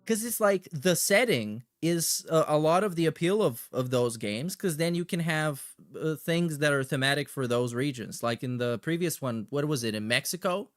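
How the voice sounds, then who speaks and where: A man's voice: a little high, animated, normal speed, hardly any pauses, no room sound, clean studio sound. A man hosting a livestream with casual, slangy, sweary commentary and a sarcastic edge. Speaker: livestream host. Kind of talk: casual chat with shout-outs and commentary.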